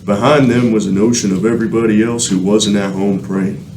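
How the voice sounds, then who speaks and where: A man's voice: on the low side, steady tone, brisk, distant mic, background noise. A man narrating a scripted comic radio play, recorded in a roomy space away from the microphone.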